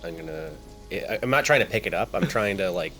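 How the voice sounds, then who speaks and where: A man's voice: fairly low pitch, big pitch swings, fairly quick, vocal fry, fairly bright tone, soft background music. A man chatting casually as a player in a tabletop game, improvising his character's actions. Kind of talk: casual improvised role-play chat.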